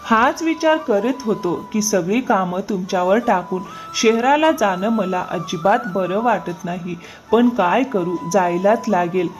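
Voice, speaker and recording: A smooth-talking male farmer from a cartoon fable, acted by a woman. He is ingratiating and full of excuses, feigning friendship while scheming, in a mid-range voice over faint music. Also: mid-range voice, animated, a little slow, hardly any pauses, lightly acted, rough recording. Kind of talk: cartoon moral-story dialogue.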